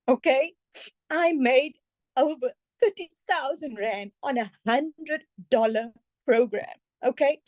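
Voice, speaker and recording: A South African woman with a fairly high voice and a dry, close sound. She speaks earnestly and emphatically, like someone giving a motivational testimonial to a group. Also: a little mellow, no room sound. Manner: emphatic, earnest, checks in with "okay?". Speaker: community member giving a testimonial.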